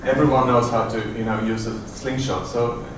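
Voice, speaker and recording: A middle-aged man giving a conference talk in a large hotel room, halting with many fillers and restarts. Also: mid-range voice, level tone. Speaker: conference presenter. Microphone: room audio from a far camera. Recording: echoey, distant room recording with noise.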